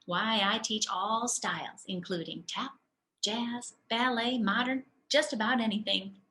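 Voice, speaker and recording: Storybook role: older female dance teacher, done by a woman reader, kindly, wise, slow and deliberate, clear bright tone. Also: on the high side. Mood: patient, instructive.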